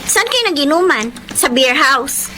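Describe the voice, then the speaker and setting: A woman's voice: high voice, sing-song, unhurried, noisy background. An actress playing a jealous, long-suffering, combative girlfriend in a Filipino radio drama, emotional and scolding. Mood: jealous nagging.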